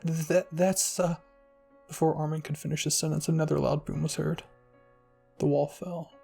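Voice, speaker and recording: A man narrates a fan fiction story in an ASMR roleplay style. His slightly high voice is husky and full of creak, with music playing underneath. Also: mellow.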